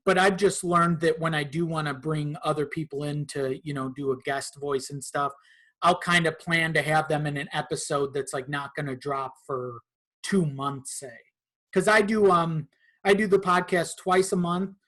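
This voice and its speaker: A man's voice: fairly high pitch, a bit bright. A man talking casually as a podcast guest, in an informal and slightly hesitant way. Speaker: podcast guest, content creator.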